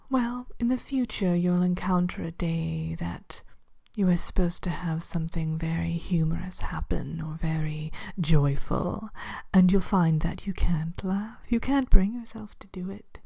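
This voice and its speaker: A woman voicing a politely sinister evil queen who runs a potion shop, in a soft, breathy, hesitant ASMR whisper. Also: on the low side, exaggerated voice. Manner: hesitant, genteel, unhurried, matter-of-fact about dark magic.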